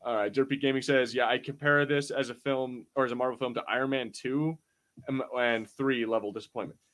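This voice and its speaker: A man's voice: medium pitch, brisk. Man bantering casually as podcast co-host. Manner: animated slangy storytelling with acted-out quotes.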